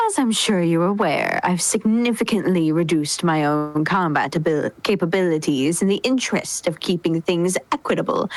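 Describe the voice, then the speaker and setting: A woman's voice: lowish voice, no room sound, poor audio. A woman playing a haughty, coolly menacing male alien overlord with a formal, sardonic drawl.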